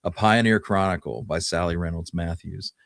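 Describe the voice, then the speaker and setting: Low-pitched man, dry close sound. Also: normal speed, creaky, fairly bright tone, no room sound. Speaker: podcast co-host. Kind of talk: casual history discussion.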